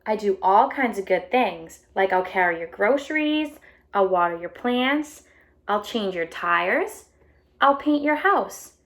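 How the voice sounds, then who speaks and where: A woman voicing an earnest, eager, slightly anxious egg in a children's storybook, with expressive, emphatic delivery and drawn-out key words. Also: mid-range voice. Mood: eager, proud. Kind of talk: children's storybook read-aloud.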